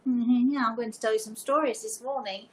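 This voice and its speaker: An older woman hosting a casual livestream, chatting to viewers in a deliberate way with emphatic repetition. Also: fairly high pitch, steady tone, darkish tone. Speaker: live show host and storyteller. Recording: noticeable background noise.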